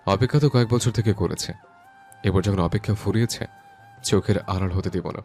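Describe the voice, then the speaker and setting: A man playing a brooding, gruffly tender young newlywed hero in an audio love story. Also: low voice, a little fast, a little mellow, soft background music. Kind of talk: Bengali audio story acting.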